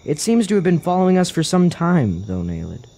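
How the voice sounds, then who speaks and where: Man acting a mellow, earnest fantasy adventurer. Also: fairly high pitch, sing-song, brisk. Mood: calm. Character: thoughtful, questioning young adventurer, earnest and persuasive. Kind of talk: dramatized fantasy audio drama acting.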